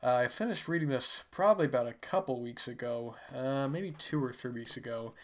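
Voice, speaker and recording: A man's voice: mid-range voice, brisk. A man who is sick and stuffy, hosting a podcast and casually reviewing a book in a rambling way with many fillers. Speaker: podcast host.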